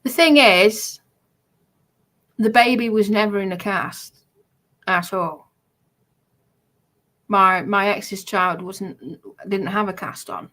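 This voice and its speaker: A woman's voice: medium pitch, slow pace, pauses often, fairly bright tone, low-quality recording. A woman chatting casually in a defensive way, often repeating herself.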